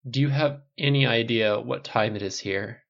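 A man's voice: mid-range voice, normal speed, creaky, dull audio, natural voice. A man doing a dry, teasing military buddy.

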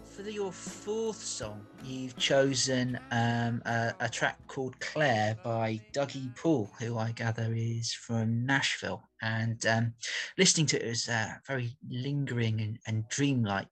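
Husky-voiced male host, hesitant and thoughtful. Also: mid-range voice, measured pace, a bit bright, soft background music. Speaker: interviewer, host. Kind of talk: reflective music interview.

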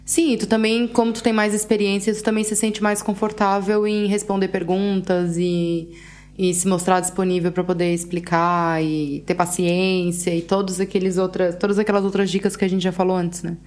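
A woman from Brazil with a Brazilian Portuguese accent, co-hosting a podcast in a relaxed, chatty way. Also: mid-range voice. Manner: relaxed, chatty, quick to agree. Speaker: podcast co-host. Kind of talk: casual podcast chat.

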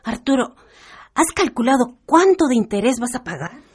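An actress with a Peruvian accent playing a sensible, protective middle-aged aunt in a radio drama, earnest in manner. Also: female voice, a little high, big pitch swings, normal speed, breathy edge. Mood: concerned, indignant. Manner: earnest, admonishing.

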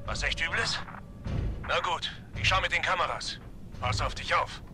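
A man playing a level-headed, no-nonsense soldier in a horror audio drama, brisk and matter-of-fact. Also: fairly high pitch, long reverb tail, noisy background, natural voice. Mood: concerned. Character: no-nonsense male soldier partner, teasing but level-headed. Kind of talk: dramatic audio drama acting of a video game story.

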